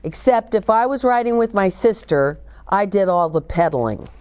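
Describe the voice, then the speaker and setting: A woman's voice: mid-range voice, lively intonation, normal speed, darkish tone, smooth. An older woman hosting a story time, chatting expressively about picture books and putting questions directly to young listeners. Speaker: host of a children's story time. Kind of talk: read-aloud and chatty book talk for children.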